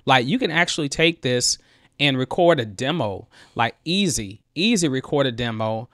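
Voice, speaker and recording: Excited male music tech tutor. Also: mid-range voice, moderate pace, no echo, clean studio sound. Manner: enthusiastic, conversational, excitable. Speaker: music producer, tutorial host. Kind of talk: screen-share software tutorial. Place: home studio, indoors. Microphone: headset with boom mic.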